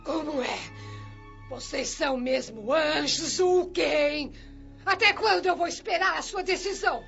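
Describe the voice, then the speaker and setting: Wretched hypocritical beggar woman, piercingly high voice, slow wailing delivery, venomous, radio drama. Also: vocal fry, fairly bright tone, muffled recording, faint music behind, heavily acted. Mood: impatient, mocking. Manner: wailing, melodramatic pleading and defiance.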